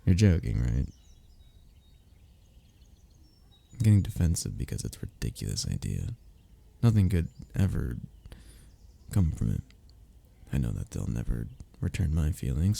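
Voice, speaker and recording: A man acting a lovesick, anxious young man in an intimate romantic audio roleplay, with a halting, emotional delivery and breathy murmurs. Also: low voice, warm tone, heavily acted. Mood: defensive, anguished. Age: young adult. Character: lovesick, anxious young man confessing to childhood best friend.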